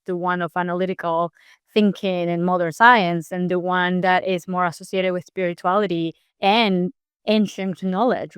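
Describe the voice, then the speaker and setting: A woman's voice: lowish voice, a bit bright, clear, resonant tone, no echo, studio quality. A woman from Peru, in her thirties, a scientist explaining her work earnestly in an interview, with occasional restarts. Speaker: scientist guest. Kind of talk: interview answers.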